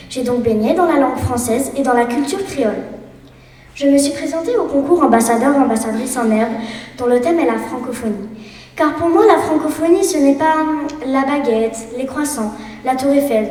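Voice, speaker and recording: An eleven-year-old girl giving an earnest, measured speech as a student contestant. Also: high-pitched, expressive, dark tone. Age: child of 11. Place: school hall. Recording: echoey hall, voice from far off.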